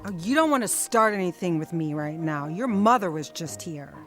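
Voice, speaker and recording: An actress playing an irritated, sharp-tongued wife in a radio drama. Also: female voice, on the low side, lively intonation, normal speed, music in the background, lightly acted.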